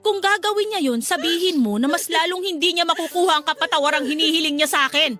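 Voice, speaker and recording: A woman's voice: very high voice, fast talker, bright, forceful tone. A Filipino woman playing a cold, unforgiving older sister and grieving mother who speaks reproachfully in a radio drama. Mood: stern, resentful. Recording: music under the dialogue.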